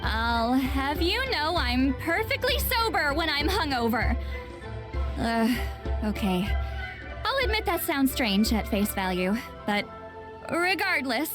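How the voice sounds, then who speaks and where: A woman playing a laid-back, sharp-witted shaman in a video game, with a drawling, nonchalant delivery. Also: high-pitched, very animated, slow pace, over music, full character voice. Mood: defensive.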